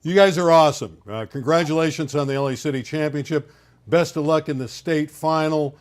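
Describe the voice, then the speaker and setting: A man's voice: fairly high pitch, a little fast. A man hosting a sports talk show, asking guests questions in an upbeat way.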